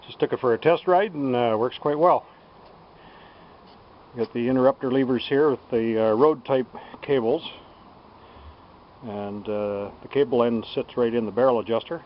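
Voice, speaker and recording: Unhurried man, dull lo-fi recording. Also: mid-range voice. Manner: unhurried, halting, thinking aloud. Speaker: DIY bicycle tinkerer presenting a build. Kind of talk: casual unscripted workshop demonstration. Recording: dull lo-fi sound with background noise.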